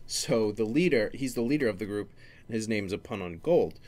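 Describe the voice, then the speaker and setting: A young man hosting his own podcast alone, talking in a low voice. He rambles loosely and keeps stopping to restart his sentences, with plenty of ums and uhs. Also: lively intonation, normal speed, darkish tone. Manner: rambling, many fillers and restarts. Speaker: podcast host. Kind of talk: solo casual talk.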